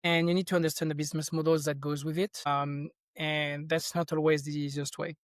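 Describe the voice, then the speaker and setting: A man's voice: fairly high pitch, little pitch movement, brisk, no room sound, studio quality. A middle-aged man from France with a French accent, talking casually and thoughtfully as a guest in an interview. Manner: thoughtful, rambling, with frequent restarts. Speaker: podcast guest, tech company founder.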